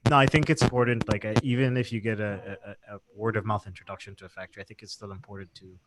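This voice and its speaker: A man hosting a casual livestream interview, talking in a relaxed, chatty way with many fillers and restarts. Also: fairly low pitch, fast talker, very noisy. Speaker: interview host.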